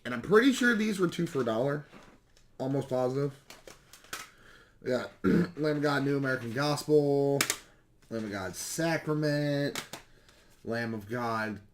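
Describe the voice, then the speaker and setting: A man with a slightly hoarse voice chats casually and ramblingly as a video host showing off recent pickups. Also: medium pitch. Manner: rambling, self-correcting, mild swearing. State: slightly hoarse, losing his voice. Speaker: YouTube video game collecting host.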